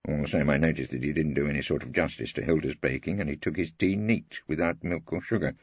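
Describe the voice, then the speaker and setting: A man playing a witty, weary old English barrister who narrates in a wry, pompous, husky voice. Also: a bass voice, quick pace, no room sound, dull audio, exaggerated voice. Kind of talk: scripted first-person audiobook narration.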